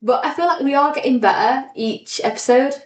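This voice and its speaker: A woman's voice: high voice, a little fast, slight background noise. A woman co-hosting a podcast in casual, informal chat with an encouraging way of talking. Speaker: podcast co-host. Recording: echoey, roomy sound.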